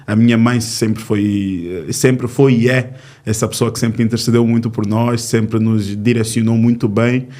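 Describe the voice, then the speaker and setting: Mellow-voiced man in his thirties from Angola. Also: fairly low pitch, steady tone. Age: 30s. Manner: earnest, storytelling, with filler pauses. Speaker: youth ministry leader. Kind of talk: testimony in a podcast interview.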